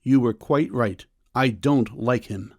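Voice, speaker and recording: A man playing a stern, middle-aged doctor and father in a period audiobook drama, with a measured, formal, coolly ironic manner. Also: medium pitch, no room sound, clean studio sound. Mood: stern, disapproving. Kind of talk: full-cast audiobook period drama acting.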